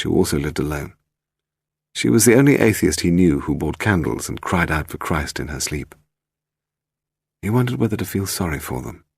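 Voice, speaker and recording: A man's voice: extremely low pitch, creaky, slightly rough, dry recording, studio quality. A man with a British accent reading a story as an audiobook narrator, expressively and from a script.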